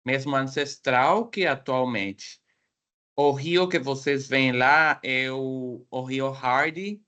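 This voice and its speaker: Slow, hesitant man with a Mexican Spanish accent. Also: mid-range voice, steady tone, sharp tone. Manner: hesitant, searching for words in a second language. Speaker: guest speaker. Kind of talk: academic seminar presentation. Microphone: video call.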